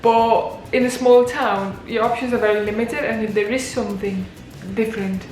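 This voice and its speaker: A woman's voice: on the high side, fairly even intonation, moderate pace, a little mellow. A young woman from Poland, whose first language is Polish, chatting casually to camera in a vlog and filling her talk with likes and you-knows. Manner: chatty, uses many fillers like "like" and "you know". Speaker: vlogger. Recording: echoey room with faint music.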